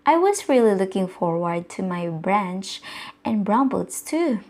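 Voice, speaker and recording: A woman voicing a beaver grieving over its stolen branches, read slowly and softly. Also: mid-range voice, very animated. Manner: slow, soft read-aloud delivery.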